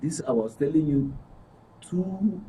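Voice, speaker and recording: A man in his fifties with a Northern Nigerian accent, telling a personal story haltingly and repeating phrases. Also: fairly high pitch, animated, dark tone. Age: 50s. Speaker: panel guest. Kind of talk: personal storytelling in a studio discussion. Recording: distant mic with faint noise.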